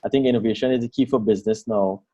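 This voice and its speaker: A man's voice: on the low side, fairly even intonation, racing, dark tone, breathy edge, highs cut off. A man hosting an interview show, encouraging and repeating key points. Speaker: host.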